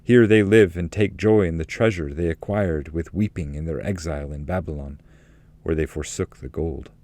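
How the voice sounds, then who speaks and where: A man reading epic poetry as a narrator, solemn, with measured and deliberate phrasing. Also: sits low, mellow.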